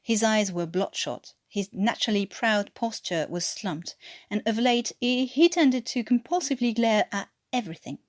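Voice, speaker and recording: A native French-speaking woman with a French-tinged English accent, reading a story aloud as an expressive narrator. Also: medium pitch, normal speed. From France. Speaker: fan fiction author reading aloud.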